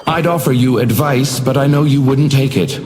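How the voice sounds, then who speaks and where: A man's voice: mid-range voice, moderate pace, close to an everyday voice. A man voicing an uncanny, suavely menacing hotel doorman in a video game, theatrical and unctuously polite, with insinuating courtesy. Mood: courteous, insinuating. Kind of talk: scripted video game character lines. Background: music.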